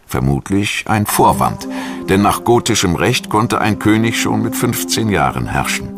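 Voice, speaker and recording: A man reading scripted documentary narration in a measured, formal manner. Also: lowish voice, very animated, music in the background.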